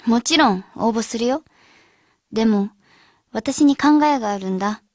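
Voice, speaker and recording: A woman's voice: on the high side, a little slow, a little mellow, dry recording, studio quality. A woman acting as an earnest teenage schoolgirl who leads her club friends in a radio drama. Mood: determined.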